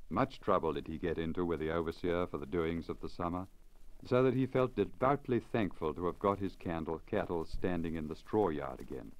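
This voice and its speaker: Deep-voiced man reading a story, expressive. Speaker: story reader. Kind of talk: scripted storybook narration, 1950s radio reading. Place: radio recording onto disc. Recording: crackly old 1950 lacquer disc.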